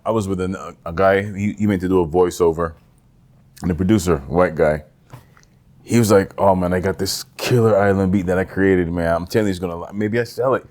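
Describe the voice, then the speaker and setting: A man's voice: low voice, a little fast. A man co-hosting a podcast in casual, comedic banter, animatedly acting out bits with a velvety voice. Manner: animated, acts out quotes and sound effects.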